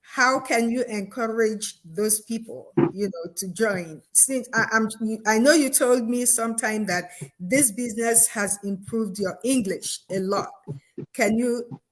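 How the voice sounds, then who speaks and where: Slow-talking woman host. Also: on the high side, poor audio. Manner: halting, friendly, many fillers. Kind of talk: interview over video call.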